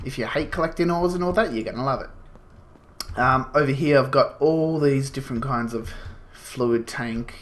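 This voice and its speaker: Bright-voiced gamer man with a cold. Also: mid-range voice. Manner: rambling, explains as he goes, many fillers. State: has a slight cold, sniffling. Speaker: gaming channel host. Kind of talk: casual gameplay commentary.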